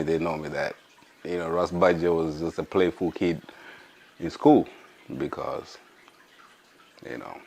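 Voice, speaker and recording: Podcast host, hoarse, very low voice, slow and searching. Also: male voice, darkish tone. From Africa. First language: an African language. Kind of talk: casual monologue.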